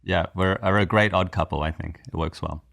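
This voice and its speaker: Man, deep pitch, no room echo, earnest, reflective, podcast conversation, explains through rhetorical questions. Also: fairly even intonation, fairly quick, vocal fry, fairly bright tone, clean studio sound. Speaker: co-writer and script producer.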